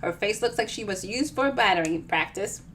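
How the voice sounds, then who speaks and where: A woman's voice: medium pitch, animated, moderate pace, a bit bright, a little hiss or hum, natural voice. A woman voicing a cheery, spry elderly lady from a novel, sing-song and sweetly bossy. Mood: chipper, on phone.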